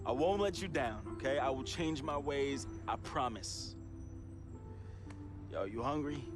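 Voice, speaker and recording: An actor playing a volatile, resentful young New hustler in a dramatic video game cutscene, talking streetwise slang and taunting. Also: male voice, mid-range voice, unhurried, natural voice. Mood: coaxing. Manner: streetwise slang, taunting, swinging from chummy to explosive. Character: volatile, resentful, fast-talking young hustler, best friend of a basketball star. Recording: loud music behind the voice.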